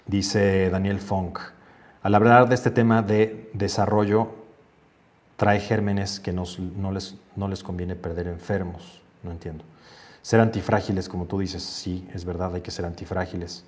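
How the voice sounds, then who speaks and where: Man, forties, lowish voice, casual earnest talk, reads comments aloud, small office. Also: steady tone, unhurried, a little mellow. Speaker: host reading viewer comments. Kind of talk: casual livestream chat. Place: home office with shelves, indoors.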